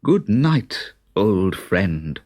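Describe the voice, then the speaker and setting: A man's voice: low voice, sing-song, drawn-out words, a little mellow, exaggerated voice. A man voicing a grand, grateful, wounded desert king in a storybook, with emphatic, declaiming speech. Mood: fond.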